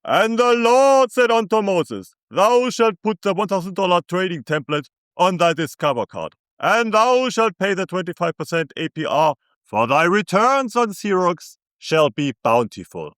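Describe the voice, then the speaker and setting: A pompous male scripture reader, put on by a German-accented man, intoning each phrase slowly and grandly. The voice sits up high and swoops theatrically. It sounds close and dry, with no room around it. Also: very animated, fairly bright tone, clear, resonant tone, dry recording, heavily acted. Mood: mock solemn.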